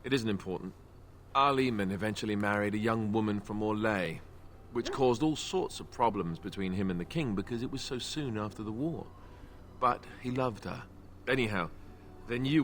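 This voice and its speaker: A man's voice: lowish voice, a bit bright, a little hiss or hum. An actor playing a wry young male knight who jokes and banters in a video game. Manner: joking, self-deprecating banter.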